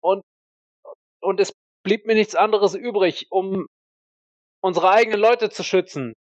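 A man voicing a defensive, dutiful army sniper who speaks haltingly and with rigid military formality in a roleplay audio drama. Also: high voice, dry recording, muffled recording, voice pushed up. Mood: strained, defensive.

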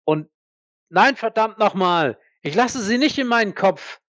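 A man's voice: high-pitched, expressive, fairly bright tone, no echo. A man playing a proud, defiant accused war veteran in an audio drama, indignant and emphatic. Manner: indignant, emphatic, halting when pressed. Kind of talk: dramatic audio play acting.

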